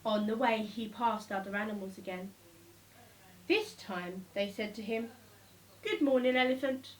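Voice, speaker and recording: A woman narrates a children's story in a medium-pitched voice. Her phrasing is deliberate and expressive, leaning on repeated words for emphasis. She sounds slightly distant from the microphone.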